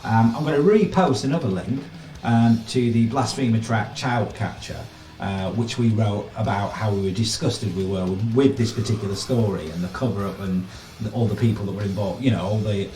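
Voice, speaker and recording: A man's voice: lowish voice, normal speed, mellow. Guy doing a casual news rant vlog, sardonic, bit far from the mic, roomy echo. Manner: sardonic, incredulous, rhetorical questions and tag questions. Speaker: vlogger giving commentary on news. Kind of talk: casual opinion commentary, reading an article aloud. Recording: echoey room, mic at a distance.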